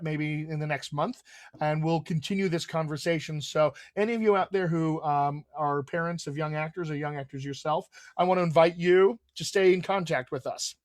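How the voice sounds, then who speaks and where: A man's voice: a little high, dry recording. A man hosting a live video Q&A as a dialect coach, talking in an upbeat, promotional way with lots of fillers, over a video call. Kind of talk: casual live-stream chat.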